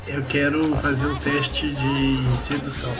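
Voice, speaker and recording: A man's voice: medium pitch, flat delivery, a little slow. A man chatting casually as a player in a tabletop game, hesitant and thinking aloud, recorded at a distance. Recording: distant mic, music and chatter behind.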